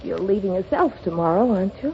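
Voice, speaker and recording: A woman's voice: medium pitch, expressive, fast, vocal fry. An actress playing a sweet, teasing, genteel young society woman in a 1930s radio drama. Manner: genteel, playful, gently reserved. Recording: muffled, crackly 1930s radio broadcast.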